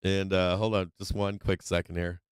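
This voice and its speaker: A man's voice: low-pitched, level tone, moderate pace, clean tone, no room sound. A man hosting a live stock market stream, casual and slangy, rambling with many fillers and restarts, saying he is losing his voice.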